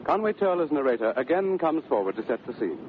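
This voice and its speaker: A man's voice: fairly high pitch, sing-song, fast talker. A man reading a formal scripted announcement as a 1930s radio announcer. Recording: dull, faintly noisy 1930s radio recording.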